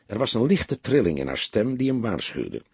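Een man met een Nederlands en een hese, rasperige stem leest als verteller een detectiveverhaal voor, met dialogen. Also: on the low side, huge pitch swings, normal speed, a little mellow, dry recording. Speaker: audiobook narrator. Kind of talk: scripted literary narration of a detective novel, with character dialogue.

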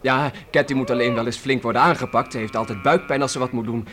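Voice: medium pitch, steady tone, fast talker, fairly bright tone, soft background music, close to an everyday voice. An actor playing an orphaned boy, the eldest child, earnest and emotional, in a Dutch radio play. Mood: earnest, halting.

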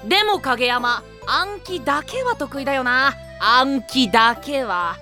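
A man voicing a small, eager, excitable teenage boy athlete who talks energetically in an anime audio drama. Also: very high voice, unhurried, soft background music, exaggerated voice. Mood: lighthearted. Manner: energetic, stammers when flustered.

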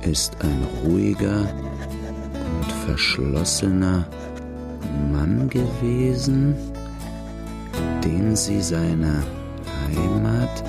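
A deep, creaky-voiced outlaw recounting his hard life. The first-person narration is grave, measured and plain, with music underneath in a radio drama. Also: heavily acted.

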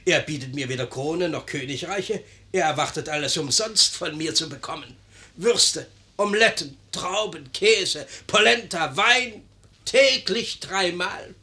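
An actor in a 1950s radio play as a servile, superstitious, excitable innkeeper, obsequious and theatrical. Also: male voice, on the high side, unhurried. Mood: theatrical lament.

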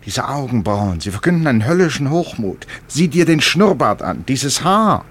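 A man's voice: mid-range voice, big pitch swings, normal speed, fairly bright tone, slightly rough. An actor playing an eccentric, stubbornly certain Englishman in a German radio play, declaiming with emphatic, rhetorical delivery. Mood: heated, insistent.

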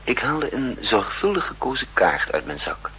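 A man's voice: mid-range voice, big pitch swings, moderate pace, a bit bright, rough recording. An actor playing a suave, wily male spy in a 1960s Dutch radio drama, nonchalant and theatrical. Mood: narrating, watchful. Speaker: actor and narrator. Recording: 1960s radio recording with faint hum.

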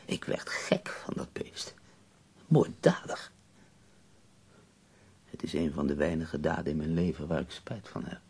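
An ailing poet for a radio drama, with a very deep, creaking voice. He sounds melancholy and weary yet dryly wry, with a husky, breathy edge that can sink toward a whisper. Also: a little slow, long pauses, a little mellow, heavily acted. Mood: wry relief. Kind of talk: radio play acting.

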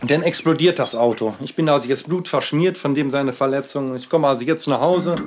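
A man giving a rambling personal monologue, speaking haltingly, often repeating himself and circling back on his phrases. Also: medium pitch, soft background music.

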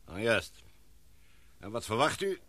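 Composed, commanding older gentleman. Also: lowish voice, big pitch swings, unhurried, exaggerated voice. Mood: composed, probing. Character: authoritative older titled gentleman taking command, courteous, probing. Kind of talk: Dutch radio play acting.

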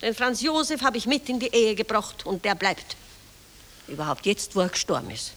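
A woman's voice: medium pitch, sing-song, normal speed, fairly bright tone, in a large hall. An actress playing a pious, easily scandalised mother loyal to the emperor, with a Viennese accent and a pleading, admonishing manner. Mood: defiant, scolding. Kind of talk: 1960s German-language radio drama acting.

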